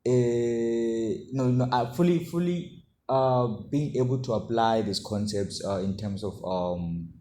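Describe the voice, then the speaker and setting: A man giving an informal coding tutorial to camera, halting as he explains and often checking in with short tags. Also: mid-range voice, mellow. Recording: roomy echo, faint background noise.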